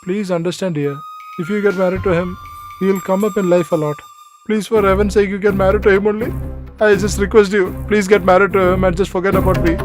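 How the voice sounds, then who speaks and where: A man's voice: high-pitched, fairly even intonation, brisk, music in the background, natural voice. A man playing a young man who loves the bride, pleading with her to marry his friend.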